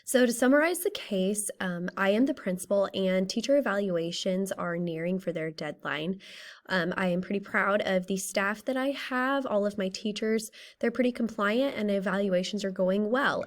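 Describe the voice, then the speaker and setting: A woman in her thirties with a slightly raspy, tired voice, methodically presenting a school case study for a class. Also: mid-range voice. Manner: steady, methodical, works through a list of questions. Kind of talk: reading a prepared class presentation.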